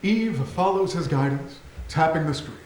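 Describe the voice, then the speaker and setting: Male narrator for a stage reading: lightly high voice, scripted delivery, echoey room. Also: lively intonation, moderate pace, darkish tone. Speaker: stage directions reader. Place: theatre stage. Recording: roomy theatre recording with faint noise.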